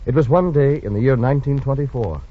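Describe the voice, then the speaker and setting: A man's voice: mid-range voice, quick pace. A man narrating a scripted 1940s radio drama in a dramatic, grandiose, solemn manner. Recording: muffled old radio recording with music.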